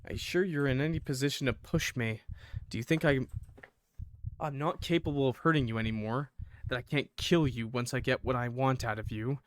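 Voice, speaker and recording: Man acting as visual novel villain, male killer, low and slow, menacing, bitter, dry close recording. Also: no echo, close to an everyday voice. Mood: cold menace.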